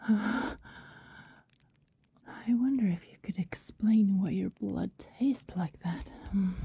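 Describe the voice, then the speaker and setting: Casting: actress as seductive female vampire for ASMR roleplay; very breathy, dark, soft whisper, slow and possessive. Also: medium pitch, dull audio, heavily acted. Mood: hungry, seductive. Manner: slow, lingering, soothing delivery. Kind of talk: intimate ASMR roleplay acting. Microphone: very close, dry microphone.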